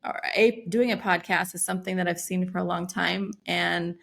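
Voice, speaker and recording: A woman's voice: lowish voice, fairly even intonation, gravelly, a bit bright. A middle-aged American woman co-hosting a podcast, speaking reflectively with frequent restarts. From United States. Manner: reflective, halting, many restarts. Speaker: podcast co-host. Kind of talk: casual podcast chat.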